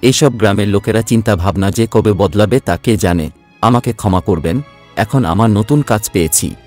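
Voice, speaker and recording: A man's voice: on the low side, moderate pace, a little mellow, soft background music, natural voice. A man playing a self-centred young working son from a village in a cartoon story, speaking emotionally. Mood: indignant, dismissive.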